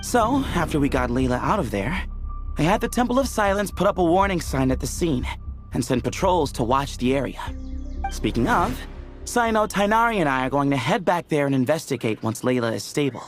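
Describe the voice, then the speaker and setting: A man's voice: a little high, sing-song, fairly quick, faint music behind. A man voicing a laid-back, teasing young temple leader who chuckles and jokes in game cutscene dialogue. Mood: easygoing.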